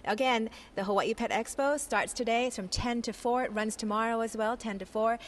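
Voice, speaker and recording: A woman's voice: fairly high pitch, steady tone, brisk. A woman in her thirties, a TV news reporter, giving an upbeat, chatty live morning report from a large indoor expo hall into a handheld mic. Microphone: handheld station mic.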